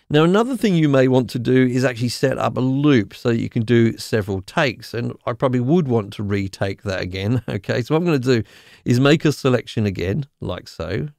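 Gravelly-voiced man teaching software. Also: medium pitch, dry recording, studio quality. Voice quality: slightly croaky voice. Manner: relaxed, chatty, frequent "okay?" checks. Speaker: music software tutor. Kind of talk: screen-recorded software tutorial.

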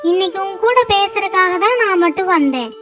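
A caring aunt, acted by a woman in a kids' moral cartoon, with a very high voice. She talks in a gently instructive, admonishing way over clearly audible music. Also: animated, fairly quick. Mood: earnest, admonishing. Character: caring, admonishing aunt, a married elder sister. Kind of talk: children's moral story cartoon dialogue. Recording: music under the voice.

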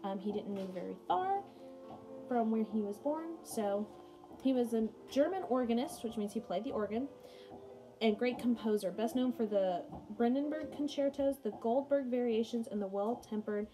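A woman's voice: a little high, normal speed, poor audio. A young adult woman giving a casual read-aloud lesson as a music teacher, mixing read passages with chatty, informal asides. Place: small room at home, indoors. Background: music playing behind the voice.

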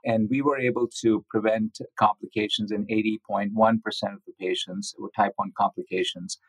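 A man giving measured, methodical explanations as an interviewed medical researcher. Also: on the low side, expressive, creaky, no room sound, rough recording.

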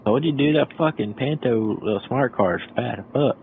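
A young man on headset voice chat, excitable and cursing a lot while playing an online game. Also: mid-range voice, quick pace, phone-quality sound, slight background noise. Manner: excitable, swears often. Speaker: gamer. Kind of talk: casual gaming chat.

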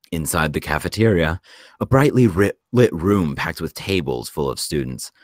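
A man reading screenplay stage directions as narrator, in a measured, descriptive way. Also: deep voice, dry recording, clean studio sound.

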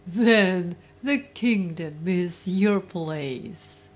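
A woman voicing a merry, amused king in a children's fairytale, with a grand, deliberate manner. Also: fairly low pitch. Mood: merry, generous. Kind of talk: children's storybook narration.

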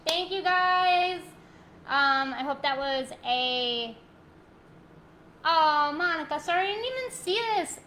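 Woman, 30s, yoga instructor streaming from home; bright, slightly high voice, gentle cues turning to bubbly chat. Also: a little hiss or hum. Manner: soft, slow guided cues, then bubbly rambling chat. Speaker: yoga teacher. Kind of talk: live-streamed yoga class, chatting with viewers. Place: living room at home, indoors. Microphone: laptop mic, webcam framing.

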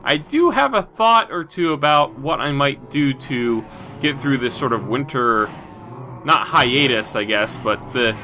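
Male host, congested from a cold, bright tone, loose thinking-aloud gameplay commentary full of "uh". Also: mid-range voice, expressive, measured pace, a little hiss or hum. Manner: rambling, thinks aloud with many fillers. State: stuffy from a cold. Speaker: Minecraft video host.

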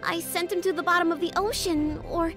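A woman's voice: very high-pitched, steady tone, a little fast, loud music behind the voice. A woman playing an anxious, earnest young girl genius in a comic dub, worried and hesitant. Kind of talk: scripted comic dub acting.

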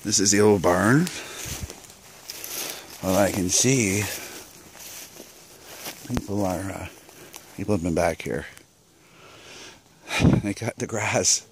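A middle-aged man from Canada giving a relaxed, unhurried commentary outdoors as he hikes along overgrown rural trails and films on a handheld camera. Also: sits low, noisy background. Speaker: vlogger. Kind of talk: casual walking narration.

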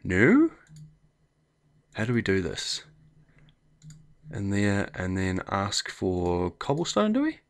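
Low, gravelly New Zealand man, slightly croaky, hosting a relaxed let's-play at his desk mic. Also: takes it slowly, long pauses. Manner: chatty, thinking aloud while clicking through menus. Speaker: gameplay video host. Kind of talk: casual let's play commentary.

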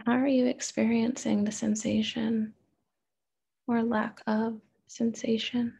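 Husky, even-toned woman guiding meditation. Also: fairly high pitch. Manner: gentle, unhurried, with pauses and open questions. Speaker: therapist leading a guided meditation. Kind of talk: guided meditation.

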